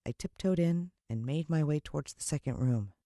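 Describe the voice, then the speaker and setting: Female American narrator for children's fiction: expressive, suspenseful storyteller, dry sound. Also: deep voice, normal speed, dry recording. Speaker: audiobook narrator.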